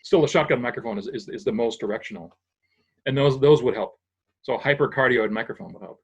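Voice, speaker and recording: A man's voice: mid-range voice, fast, slightly rough. An older man from Massachusetts, a sound engineer guest, patiently explaining technical things in a casual interview, thinking aloud with small restarts. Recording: dull lo-fi audio.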